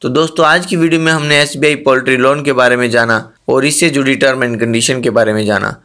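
A man hosting an explainer channel, narrating a scripted voice-over in a steady manner and often addressing viewers as friends. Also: medium pitch, brisk. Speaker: channel host.

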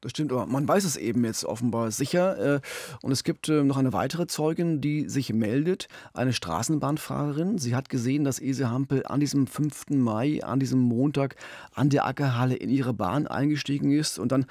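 A man's voice: medium pitch, moderate pace, a little mellow, no room sound. A middle-aged man telling a true-crime story as a podcast host, close on a studio mic. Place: small radio studio. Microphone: close studio mic on boom arm.

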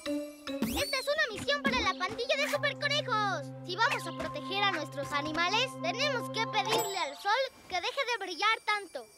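A little boy rabbit superhero, played by a voice actor talks in a very high, energetic voice in Latin American Spanish. He is eager and encouraging, ready for the next mission. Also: moderate pace, loud music behind the voice.

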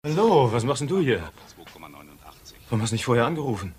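Man playing a lively, businesslike criminal. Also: medium pitch, fairly quick, a little hiss or hum, lightly acted. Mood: hurried. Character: brisk, businesslike male criminal money man. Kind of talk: radio crime drama acting.

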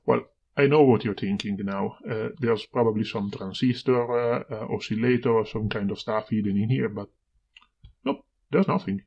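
A man with a husky, slightly gravelly voice presenting an informal hands-on electronics explanation. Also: lowish voice, moderate pace. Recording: dull, lo-fi home video audio.

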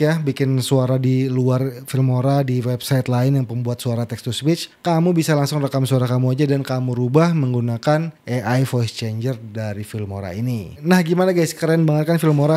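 A man, hosting a casual, chatty software tutorial into a close microphone. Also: mid-range voice. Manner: chatty, frequent "guys" and "ya" tags. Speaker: tutorial host. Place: small indoor home studio. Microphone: close desk microphone.

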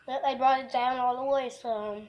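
A woman livestreaming a visual novel, reading the text aloud haltingly and adding goofy, casual asides. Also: high voice, steady tone, muffled recording.

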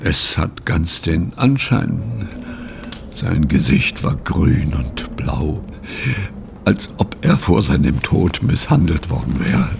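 A man acting in a German audio drama as a bewildered, troubled gentleman, telling his account in a solemn, halting way. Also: rumbling low, sing-song, vocal fry, noisy background, exaggerated voice. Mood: grieving.

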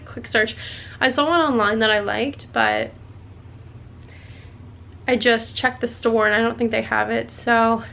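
A woman's voice: a little high, creaky, fairly bright tone. A young woman in a small hotel room, talking casually to a handheld camera about her day, rambling with lots of filler words. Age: young adult. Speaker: vlogger. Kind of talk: casual travel vlog chat. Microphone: handheld camera at arm's length.

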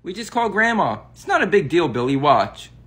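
A performer voicing a laid-back older brother in a comedy skit, casual and teasing. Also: female voice, fairly low pitch, very animated, brisk, fairly bright tone. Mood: reassuring.

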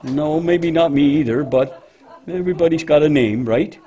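Motherly female cow puppet, acted by a man, deep, husky, dark tone, patient, hesitant, children's show. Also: moderate pace. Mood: patient, explaining.

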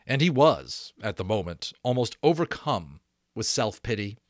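Male narrator, 30s, lower register, dry close-mic sound, dramatic storybook delivery. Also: sing-song, moderate pace, dry recording. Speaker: audiobook reader, voice actor. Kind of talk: expressive read-aloud narration of a novel. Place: small home room, indoors. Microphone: close desk microphone.

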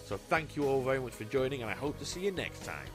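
Male host, mid pitch, casual and upbeat, light music underneath. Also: lively intonation, quick pace. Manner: chatty and enthusiastic, lists card names. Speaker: YouTube host. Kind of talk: casual card-deck commentary.

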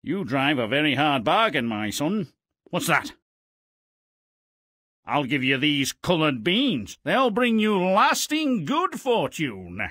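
A man's voice: a little high, sing-song, slow, bright, forceful tone, dry recording, clean studio sound, full character voice. A man voicing a sly swindler in a fairy-tale video game, with a wheedling salesman's patter. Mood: sly, haggling. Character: sly, wheedling swindler trading magic beans. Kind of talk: video game character acting.

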